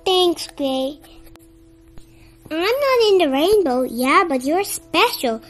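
A child reading a children's picture book aloud in an expressive, somewhat halting way, with stumbles. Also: very high voice, faint music behind. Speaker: storybook reader.

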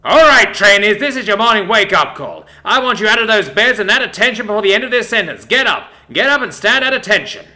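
A man's voice: sits high, lively intonation, fast talker, bright, forceful tone, pitched above the performer's own voice, exaggerated voice. A man voicing a strict male Air Force drill sergeant who barks orders in a clipped, commanding way. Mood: barking.

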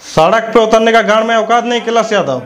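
Guy in his 30s, high voice, sweary scornful political rant into a lapel mic. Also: fairly quick, fairly bright tone. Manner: scornful, abusive, confrontational. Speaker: news commentator. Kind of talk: combative political rant to camera.

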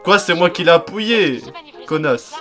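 A man in his thirties giving exasperated, sweary, mocking commentary on a game at home, over loud music. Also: high voice, animated, a little slow. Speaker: gaming video host. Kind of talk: casual reaction commentary on a game. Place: small room at home.

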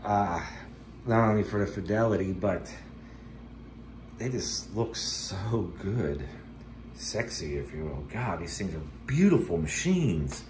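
Low-voiced man in an echoey room. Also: takes it slowly. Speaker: vintage tape recorder collector demonstrating a machine. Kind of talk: casual rambling demonstration commentary. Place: home dining room. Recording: roomy echo, steady background noise.

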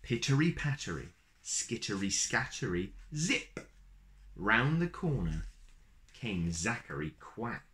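A man in his thirties reading a picture book aloud to young children in an expressive, deliberate storytelling manner. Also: lowish voice. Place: small plain room indoors.

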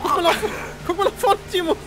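A man's voice: extremely high pitch, quick pace, noisy background. A man in casual gaming chat, playful and silly, often exclaiming. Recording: game voice chat under loud music. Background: loud music and game vehicle sounds.

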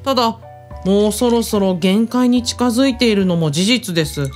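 A woman's voice: medium pitch, moderate pace, a little mellow, soft background music, close to an everyday voice. An actress in a radio drama playing a composed female aide with polite, refined phrasing. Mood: polite, concerned.

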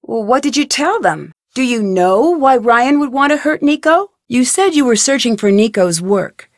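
A woman's voice: a little high, lively intonation, normal speed, no room sound, clean studio sound, close to an everyday voice. An actress playing a young female detective in a video game, asking polite, pointed, probing questions with composure. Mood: inquisitive.

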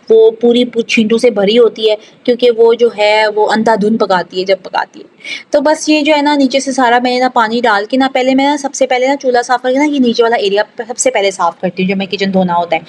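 A woman from South Asia doing a casual, chatty vlog voice-over in a rambling, conversational way with repeated filler phrases. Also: fairly high pitch. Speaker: home vlogger.

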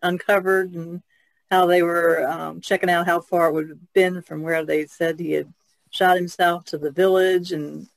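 A woman talking on a podcast in a hesitant, halting way, with fillers and small laughs. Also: fairly low pitch, level tone, vocal fry, no room sound, rough recording. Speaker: podcast guest. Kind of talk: casual discussion.

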